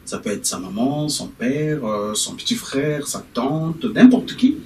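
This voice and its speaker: A middle-aged man from Congo-Brazzaville, answering questions as a TV interview guest and often pausing with fillers. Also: mid-range voice, very animated. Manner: hesitant, many filler pauses. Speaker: interview guest, company founder. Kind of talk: TV studio interview. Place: TV studio. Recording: roomy studio, distant mic, faint noise.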